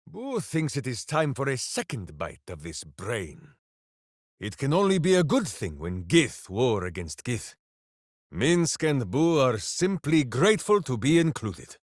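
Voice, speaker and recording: A man's voice: mid-range voice, sing-song, unhurried, no room sound, studio quality. A man voicing a hulking, earnest ranger warrior with a pet hamster, who refers to himself in the third person and makes grand heroic proclamations. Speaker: video game character. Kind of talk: game voice lines.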